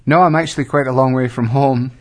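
A Scottish man in his fifties, a pastor answering interview questions in a measured, thoughtful way with frequent pauses. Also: medium pitch, fairly even intonation. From Scotland. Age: 50s. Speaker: pastor and guest. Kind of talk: reflective interview storytelling.

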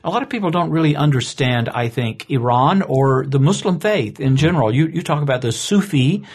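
A man's voice: a little high, lively intonation, a little fast, slightly rough. A man hosting a podcast, introducing his guest formally and asking courteous, guided questions. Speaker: podcast host. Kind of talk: interview hosting.

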